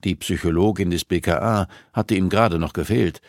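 A man's voice: low voice, normal speed, no room sound, clean studio sound. A man reading a novel aloud as scripted audiobook narration.

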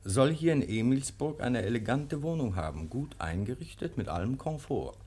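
A man's voice: mid-range voice, lively intonation, normal speed, a little mellow, husky. A man acting in a German radio play as an amused, gossiping legal counsellor with a knowing manner. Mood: gossipy, amused. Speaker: actor.

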